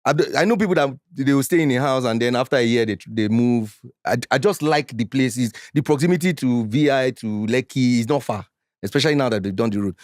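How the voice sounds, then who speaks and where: A man in his forties with a Nigerian accent, a guest in a casual interview who talks in an animated, defensive way and slips into Pidgin when he gets heated. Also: mid-range voice, a little fast, no echo, studio quality. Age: 40s.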